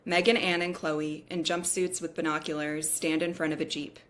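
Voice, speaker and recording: A woman reads stage directions from a screenplay. Her voice sits somewhat low for a woman. It is scripted narration for a table read. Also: flat delivery, normal speed, fairly bright tone.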